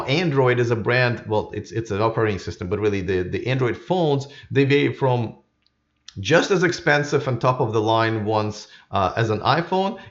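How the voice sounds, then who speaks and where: A man's voice: medium pitch, lo-fi sound. A man hosting a live stream with casual, unscripted commentary, rambling and often repeating his words as he goes. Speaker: YouTube live stream host.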